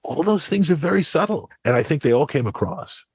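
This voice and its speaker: A man's voice: on the high side, animated, a little fast, breathy edge, dry recording, clean studio sound. An American man giving conversational podcast analysis in an explanatory, emphatic way. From United States. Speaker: podcast commentator.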